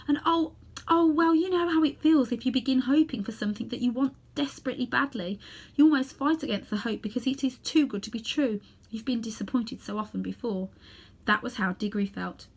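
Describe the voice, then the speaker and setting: A woman narrating a children's storybook expressively, with dramatic emphasis and hushed asides. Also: on the high side, husky. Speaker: storybook reader.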